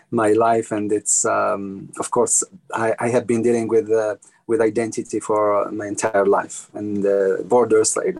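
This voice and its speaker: A man's voice: on the low side, darkish tone, rough recording. A man in his fifties, a native Arabic speaker, talking on a panel in an anecdotal, storytelling way that repeats words for emphasis. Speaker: panel guest, writer. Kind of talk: panel conversation.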